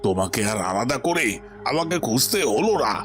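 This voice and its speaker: A man's voice: medium pitch, sing-song, a little fast, faint music behind, natural voice. A man voicing a scheming cartoon royal minister with a theatrical, emphatic, melodramatic delivery. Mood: menacing. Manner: theatrical, emphatic, repeating phrases. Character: villainous royal minister, menacing, then cowardly and pleading.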